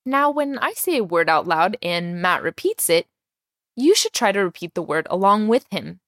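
Lively young woman teaching a language. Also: medium pitch, moderate pace, no room sound, studio quality. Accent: American English, Texas. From Iran. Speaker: language teacher, podcast host. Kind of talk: scripted podcast lesson.

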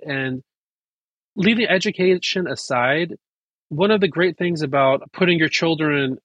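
A man, raised speaking Persian at home, talking in an animated way with quick bursts and restarts as an interview guest. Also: a little high, breathy edge, no echo, poor audio. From United States. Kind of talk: casual interview.

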